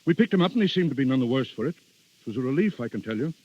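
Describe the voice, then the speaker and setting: A man's voice: medium pitch, animated, rapid-fire, husky. An actor playing a genial middle-aged aristocratic father, formal and avuncular, in a vintage radio drama. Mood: puzzled. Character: genial aristocratic father, an Italian duke, kindly and composed. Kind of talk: 1930s-40s radio acting. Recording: muffled old radio broadcast recording.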